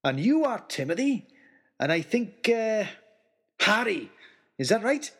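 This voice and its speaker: A man voicing a huge, jolly old man in an audio story, warm, exclamatory and bumbling over names. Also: high-pitched, very animated, a little slow, husky, voice pushed up, heavily acted. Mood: jovial, flustered.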